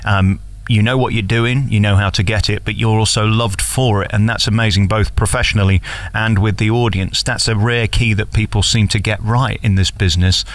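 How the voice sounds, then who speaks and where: A man interviewing as a radio host, flattering and cheeky in manner. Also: lowish voice, normal speed, dry recording. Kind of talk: interview questions and commentary.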